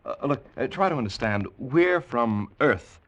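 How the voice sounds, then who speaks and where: An American actor playing a skeptical, excitable space explorer in 1940s radio drama, questioning everything in a halting, incredulous way. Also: male voice, mid-range voice, no room sound. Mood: flustered, polite. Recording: muffled old radio broadcast, music behind.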